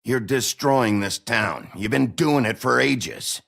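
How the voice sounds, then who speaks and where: An actor playing a gruff, blunt, hard-boiled sheriff in a dramatic video game, with a gravelly, husky voice. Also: male voice, medium pitch, slow, fairly bright tone, full character voice. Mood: angry. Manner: blunt, confrontational.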